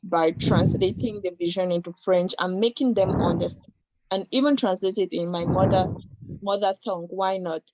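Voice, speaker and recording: A woman's voice: medium pitch, rough recording. A young woman on a video call introducing herself as an election candidate, speaking with hesitant fillers. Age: young adult. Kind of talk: campaign self-introduction.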